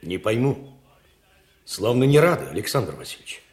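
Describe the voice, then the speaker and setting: A man's voice: lowish voice, sing-song, normal speed. A man playing a loyal Russian general, fervent and respectful, in a radio drama. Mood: puzzled. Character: loyal, earnest Russian general, devoted to his commander. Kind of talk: Soviet radio drama acting.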